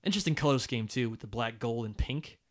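A man's voice: medium pitch, fast talker. A man co-hosting a casual podcast, reading listener emails aloud and joking, often laughing. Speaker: podcast co-host.

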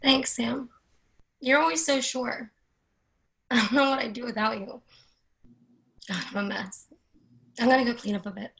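A woman acting as a distraught, confiding young friend in a play reading, speaking hesitantly and haltingly. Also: fairly high pitch, steady tone, vocal fry, fairly bright tone, rough recording. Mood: grateful. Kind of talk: readers-theatre drama acting.

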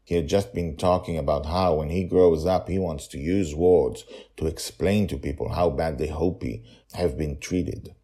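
An older man hosting a storytelling podcast, chatty and a little halting, then reading the story aloud. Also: extremely low pitch, fairly even intonation, dark tone, slightly rough. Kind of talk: podcast narration.

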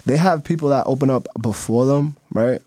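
Young man, from the Dominican Republic, casual rambling talk, laughing often, mid pitch. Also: moderate pace, warm tone, no echo. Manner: loose, laughing, lots of fillers. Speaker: guest videographer. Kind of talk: casual radio interview chat. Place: radio studio.

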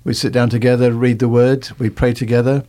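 A man's voice: medium pitch, little pitch movement, fairly quick, warm tone. A man talking about his own life as a guest in a radio studio, in a conversational style. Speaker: interview guest, volunteer board member. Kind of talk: personal account in conversation.

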